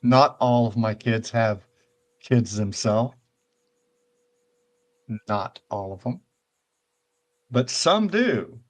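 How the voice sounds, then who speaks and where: A man's voice: mid-range voice, expressive, drawn-out words, pauses often. An older man hosting a casual talk show, walking listeners through things in a folksy, rambling way and often checking in with "right?". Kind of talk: casual chat and virtual world walkthrough.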